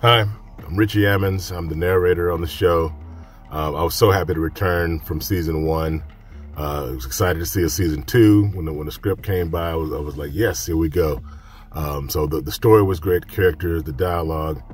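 A man's voice: low voice, fairly quick, breathy edge, faint music behind. A man who works as a narrator, giving a casual recorded message to listeners. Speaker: audio drama narrator. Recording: distant from the microphone.

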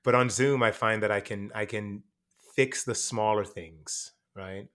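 A young man born in Jamaica speaks in a fairly low register. He talks casually and reflectively in an interview, circling back with fillers and restarts as he thinks. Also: steady tone, a little slow, a bit bright. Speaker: actor and teacher.

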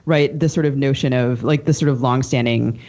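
A woman's voice: a bass voice, fairly even intonation, warm tone. Woman interviewer with dull, lo-fi sound. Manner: halting, searching phrasing. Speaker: podcast co-host. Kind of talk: conversational interviewing. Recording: dull, lo-fi audio.

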